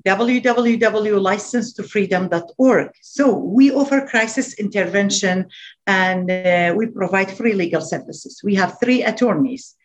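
A woman's voice: mid-range voice, a little slow. Earnest woman, English. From Iraq. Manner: earnest, repeats key phrases, non-native grammar. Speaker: nonprofit founder, guest. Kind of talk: interview answers.

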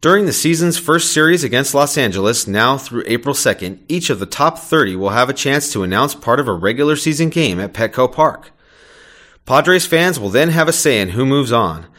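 A man reads a local sports news item for a community radio station. His delivery is upbeat and announcer-like, at an everyday middle pitch. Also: animated, moderate pace, fairly bright tone. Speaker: news reporter. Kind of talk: scripted radio news read.

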